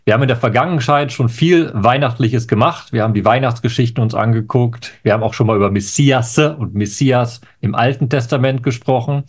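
A man's voice: medium pitch, brisk, hardly any pauses, slightly rough. A man co-hosting a live scholarly discussion, eager, jumping in and correcting his partner. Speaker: co-host, Old Testament scholar.

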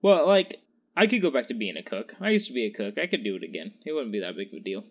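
A man's voice: medium pitch, sing-song, fast. A man in his twenties co-hosting a casual podcast, rambling and joking with frequent laughs. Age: 20s. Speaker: podcast co-host. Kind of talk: casual chat.

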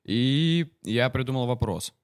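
A man's voice: mid-range voice, animated, unhurried, clean tone, no room sound, studio quality. A man in his twenties, a guest on a podcast, chats casually, jokes around and hesitates with many fillers. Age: 20s. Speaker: podcast guest, triathlon coach.

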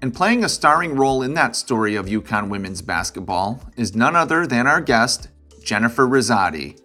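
A man from Connecticut hosting an interview show, reading a polished, upbeat introduction and then asking relaxed questions. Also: medium pitch, moderate pace, soft background music. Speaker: podcast host. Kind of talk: interview host with scripted intro.

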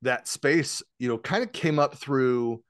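A man's voice: medium pitch, a little slow, clear, resonant tone, no room sound. Chatty man hosting a podcast, dry sound. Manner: rambling, many fillers and restarts. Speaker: podcast host. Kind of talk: casual interview chat.

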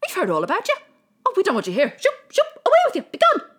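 A woman voicing haughty angels at heaven's gate, shooing someone away with shrill scolding. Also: huge pitch swings, quick pace, heavily acted. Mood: scornful dismissive.

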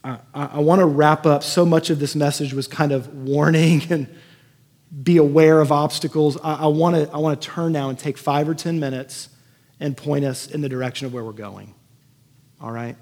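A man's voice: a little high, fairly even intonation, normal speed, a little mellow. A man preaching as a pastor in a conversational sermon, earnest and given to emphatic repetition. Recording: echoey hall with long reverb.

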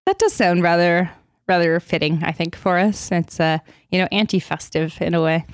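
A woman's voice: on the low side, moderate pace, clean tone, dry recording. A woman in her thirties co-hosting a podcast, a comedian with a dry, wry manner in casual chat. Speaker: podcast co-host, stand-up comedian.

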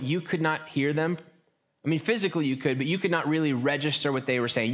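A man preaching a sermon in a relaxed, conversational way, often restarting phrases and slipping into quick acted asides. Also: fairly high pitch. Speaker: pastor.

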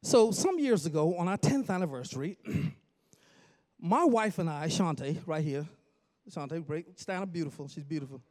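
A man in his forties from the Bahamas preaches in a church, swinging from chatty asides to sudden shouts as he tells a story. His voice sits high for a man, and he jokes easily with the congregation. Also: lively intonation, normal speed. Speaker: pastor. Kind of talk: storytelling sermon. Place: church sanctuary.

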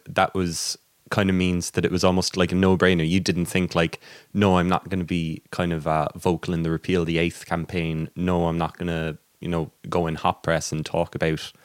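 Male interviewer, low pitch, loose rambling conversational questions, dry sound. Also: little pitch movement, brisk, hardly any pauses, dry recording.

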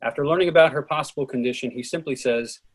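A man with a husky voice reading out a play's stage directions and cues as scripted narration. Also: medium pitch, fast talker.

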